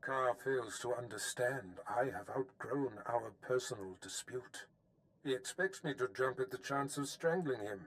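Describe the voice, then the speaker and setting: An actor playing a scheming, imperious older Sith master in a video game, haughty, theatrical and deliberate. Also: male voice, medium pitch, a bit bright, low-quality recording.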